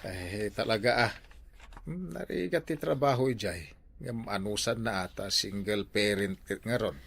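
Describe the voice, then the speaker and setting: A man's voice: fairly low pitch, normal speed, creaky, a bit bright. A man playing an easygoing older father, hesitant and conversational, in an Ilocano radio drama.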